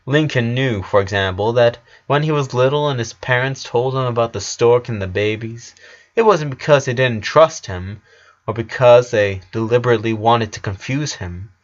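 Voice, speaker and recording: A man's voice: medium pitch, normal speed. A man narrating a sad story as a dramatic reading, on a dull, lo-fi recording. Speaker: fan fiction narrator.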